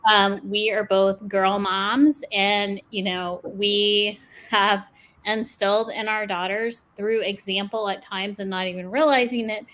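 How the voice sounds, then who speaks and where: A woman's voice: mid-range voice, steady tone, a little slow, fairly bright tone, low-quality recording. A woman in her forties chatting on a panel over a video call, upbeat and laughing between thoughts. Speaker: panelist, podcast host. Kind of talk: casual panel chat.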